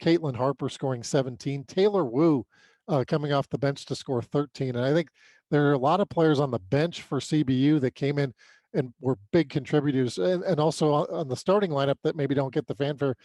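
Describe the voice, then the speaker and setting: A man's voice: on the high side, a little fast, dark tone, no room sound. A man with an American accent talking through sports results in a casual way as a podcast co-host. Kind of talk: casual sports recap commentary.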